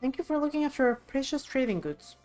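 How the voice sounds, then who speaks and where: A woman's voice: high voice, animated, fast, darkish tone, faint music behind. A woman reading video game dialogue aloud expressively on a live stream.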